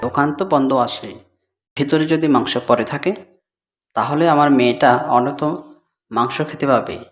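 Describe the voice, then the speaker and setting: A man plays a humble fox dad in a cartoon with an everyday mid-pitched voice. He comes across as earnest, with faint music underneath. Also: moderate pace, lightly acted. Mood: caring. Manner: earnest, pleading. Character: poor, earnest father fox.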